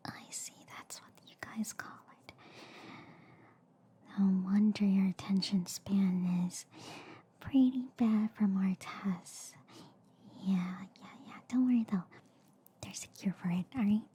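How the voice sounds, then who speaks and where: Woman as alien girl doctor for ASMR roleplay: very mellow breathy whisper, slow, reassuring, slightly shady. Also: mid-range voice, natural voice. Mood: gently explaining. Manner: soothing, hesitant, repeats reassurances softly. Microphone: close ASMR microphone. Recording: faint background noise, close-up ASMR.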